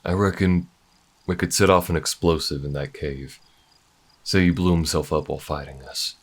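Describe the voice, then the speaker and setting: A man's voice: low voice, fairly even intonation, normal speed. A man playing an easygoing, good-hearted bounty hunter in a space frontier audio roleplay, talking in a folksy, reassuring drawl. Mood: warm, upbeat.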